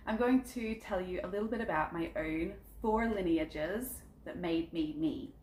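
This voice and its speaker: Low-voiced woman chatting in a roomy space. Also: a little hiss or hum. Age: about 30. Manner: chatty and earnest, frequent ums, lively shifts in pace. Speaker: psychic content creator. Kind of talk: personal introduction vlog, storytelling about family and spirituality. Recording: roomy echo with faint background ambience.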